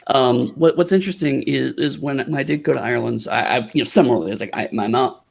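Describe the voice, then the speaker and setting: A man with a gravelly edge to his voice chats as a podcast guest. He rambles and halts, circling back over his words with plenty of fillers, and his pitch sits in the middle range. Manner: halting, rambling, many restarts and fillers. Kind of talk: casual chat.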